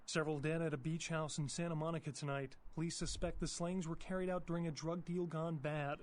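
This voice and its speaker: A man acting as a television news anchor in a game, formal and measured. His voice sits somewhat high for a man, and he reads scripted reports. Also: steady tone, natural voice. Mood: formal, neutral.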